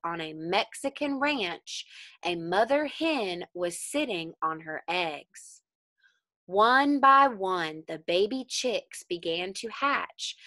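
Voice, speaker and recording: Bright-voiced young woman teaching children. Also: medium pitch, no room sound. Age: young adult. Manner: animated, encouraging, addressing young children. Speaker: elementary school teacher. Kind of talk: children's read-aloud lesson.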